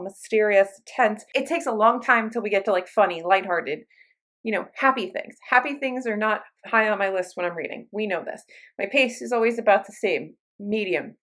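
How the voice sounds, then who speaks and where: A woman's voice: a little high, fairly bright tone. Chatty woman hosting a book vlog. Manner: informal, self-interrupting, conversational. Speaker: book reviewer, video channel host. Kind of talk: casual chatty vlog talk to viewers.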